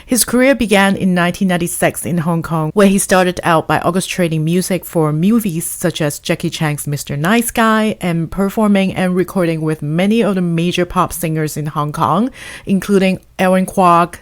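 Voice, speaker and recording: A woman's voice: on the low side, moderate pace. A woman hosting a casual podcast interview, asking questions with frequent fillers. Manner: reads a long guest introduction, with fillers. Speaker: podcast host.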